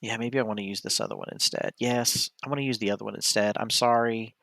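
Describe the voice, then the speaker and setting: A man's voice: on the low side, fairly even intonation, fast, vocal fry, a bit bright, no room sound. A man streaming solo board game play, talking casually through each move and often correcting himself as he decides. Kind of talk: casual play-by-play commentary, thinking aloud.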